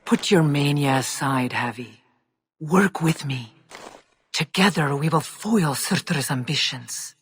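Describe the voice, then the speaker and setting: An actor voicing a proud, defiant giant lord in a video game, with a theatrical, measured and scornful delivery. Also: male voice, fairly high pitch, lively intonation, a bit bright, heavily acted. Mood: earnest appeal. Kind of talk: dramatic video game voice acting. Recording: clear background noise.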